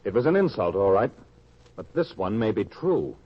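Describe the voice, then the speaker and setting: Low, husky, resentful farm son played by a man. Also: big pitch swings, normal speed. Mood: troubled. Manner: indignant, sardonic. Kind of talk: old-time radio acting. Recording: dull lo-fi radio drama sound.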